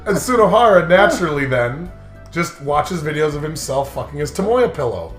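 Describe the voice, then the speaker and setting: A high-voiced, animated man gives casual game commentary in a roomy space with music underneath. He jokes crudely and giggles, and drifts into mock-dramatic narration. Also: normal speed. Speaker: let's play host.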